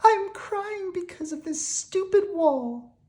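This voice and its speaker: A woman reading a storybook aloud, voicing a weepy, lovesick young princess with high, melodramatic wailing. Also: lively intonation, takes it slowly, a little mellow, exaggerated voice.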